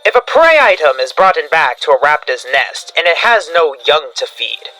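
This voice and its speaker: A man's voice: a little high, big pitch swings, moderate pace, pushed and bright, low-quality recording. A man delivering scripted analog horror narration like a field briefing, in a grave, dramatic instructional manner. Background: background music.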